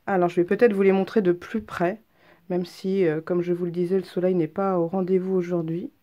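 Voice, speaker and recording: A woman craft vlogger with a stuffy head cold talks casually through her handmade work. Her voice sits a little low and sounds mellow and soft-edged, and she pauses often with hesitant ums. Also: little pitch movement. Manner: chatty, hesitant, many ums. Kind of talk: casual craft show-and-tell.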